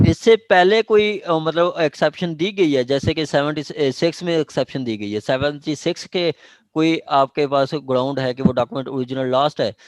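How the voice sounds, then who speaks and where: A man's voice: on the high side, dry recording, low-quality recording. A man with a Pakistani accent giving an informal online law lecture, explaining by repeating points and checking that listeners follow. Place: online meeting.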